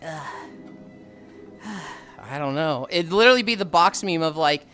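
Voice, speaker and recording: A tired woman in her thirties who chats loosely and sardonically, sighing between thoughts and swearing without fuss. Her voice sits a bit low but bounces up and down a lot. Faint music plays in the background. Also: lively intonation, slow. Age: 30s. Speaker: livestreamer. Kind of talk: casual stream chat.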